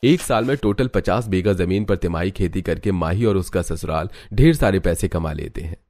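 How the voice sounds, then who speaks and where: A man's voice: low voice, moderate pace, darkish tone, dry recording, clean studio sound. A man narrating a scripted cartoon story.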